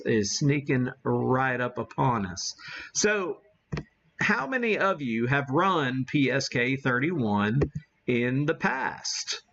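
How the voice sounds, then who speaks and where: A man's voice: on the high side, creaky, a bit bright, dull audio, a little hiss or hum. A man hosting a ham radio livestream, chatting casually in a rambling, self-deprecating way as he demonstrates. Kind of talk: casual live-stream chat and demonstration.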